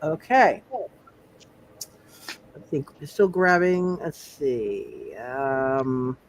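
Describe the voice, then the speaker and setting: A game master casually refereeing a tabletop roleplay session, thinking aloud in repeated, halting phrases. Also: mid-range voice, expressive, a little hiss or hum, poor audio.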